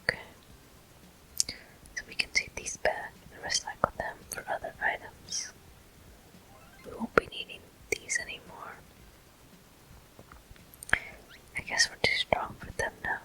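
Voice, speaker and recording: Bright, breathy ASMR whisper. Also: measured pace, long pauses, poor audio. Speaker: gameplay walkthrough host. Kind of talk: ASMR whispered game commentary.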